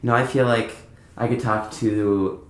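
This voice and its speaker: A man's voice: on the low side, fairly even intonation, moderate pace. Echoey man chatting casually. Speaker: podcast guest, band musician. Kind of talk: casual conversational interview, telling anecdotes. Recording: roomy, echoing indoor sound.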